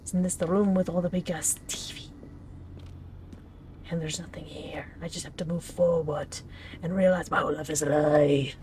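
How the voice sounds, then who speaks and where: A woman's voice: low-pitched, steady tone, moderate pace, noisy background. A young woman playing a game and commenting casually, giggly and sarcastic, swearing freely. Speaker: let's play host.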